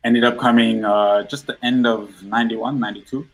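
A middle-aged man from Guyana chatting casually as a guest on a talk show, with a medium-pitched voice. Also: steady tone, moderate pace, a crowd in the background, low-quality recording. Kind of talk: casual chat.

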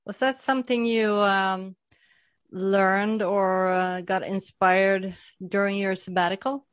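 Casting: woman from Sweden, interviewer, slow and halting, dry sound. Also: mid-range voice, flat delivery, clear, resonant tone, no echo. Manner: hesitant, halting with fillers. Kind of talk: casual interview conversation.

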